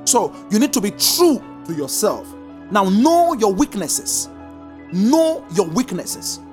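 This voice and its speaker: A preacher teaching a congregation, stressing points in slow drawn-out repeated commands. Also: medium pitch, faint music behind. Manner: lively sing-song emphasis, slow drawn-out repeated commands. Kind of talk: sermon teaching.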